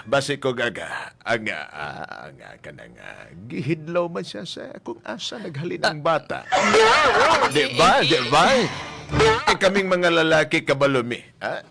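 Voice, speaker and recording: A man's voice: a little high, very animated, unhurried, creaky, very noisy, heavily acted. A man playing a lecherous, scheming boss with a Bisaya accent, posing as a sympathetic adviser in a radio comedy drama, with hammy, stammering, suggestive hesitations. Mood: sly, suggestive. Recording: loud music bed behind voice.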